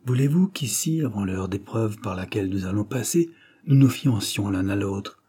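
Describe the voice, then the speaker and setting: A man's voice: fairly low pitch, moderate pace, mellow, breathy edge. A man reading the part of an earnest, resolute young suitor, sincere and formally respectful. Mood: ardent.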